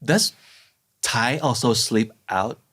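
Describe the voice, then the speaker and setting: Woman interviewer with an unusually deep, low voice, attentive and encouraging. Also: lively intonation, slow, breathy edge. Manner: attentive, frequent soft backchannels. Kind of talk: casual interview chat.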